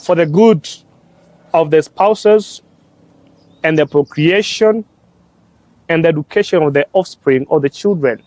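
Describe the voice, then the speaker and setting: Young Tanzanian-born religious brother, unhurried and hesitant, slightly high voice, recorded dry and close. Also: male voice, a little mellow, no echo. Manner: slow, halting delivery in short phrases with frequent "uh". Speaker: religious brother and law student. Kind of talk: explaining church teaching on a talk show.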